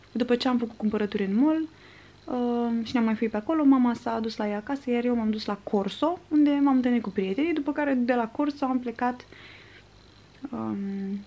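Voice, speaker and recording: A woman in her twenties from Romania, a vlogger chatting to camera in a casual, rambling, conversational way. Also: fairly high pitch. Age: 20s. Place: small furnished room at home, indoors.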